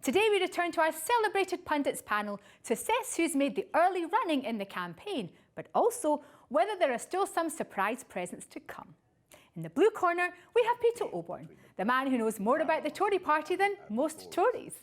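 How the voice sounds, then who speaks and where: A woman presenter with a high-pitched voice that moves up and down a great deal. She reads scripted links and introductions as the host of a talk show. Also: big pitch swings, a little fast. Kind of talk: scripted presenting to camera, reading introductions and messages.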